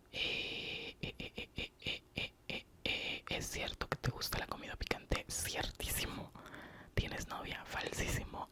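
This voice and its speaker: A man from Oaxaca with a Mexican accent, whispering an ASMR question-and-answer session with short true-or-false replies. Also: sharp tone. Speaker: ASMR youtuber.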